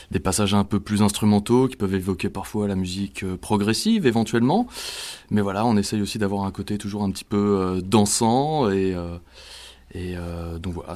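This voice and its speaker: A man's voice: fairly low pitch, a little mellow. A man in a rock band, answering interview questions hesitantly while he searches for words. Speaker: band member being interviewed.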